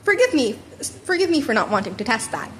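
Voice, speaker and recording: High-voiced woman reading fan fiction, noisy recording. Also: big pitch swings, fast talker. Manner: wry, informal reading with frequent stumbles and repeats. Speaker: podfic reader narrating fan fiction. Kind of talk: scripted narration read aloud.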